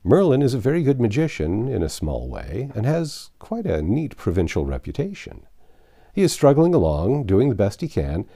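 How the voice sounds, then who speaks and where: A man's voice: medium pitch, huge pitch swings, normal speed, dark tone, slightly rough, heavily acted. A man reading a storybook, playing a confident, wry magician who reasons with polite self-assurance. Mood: reasoning, assured.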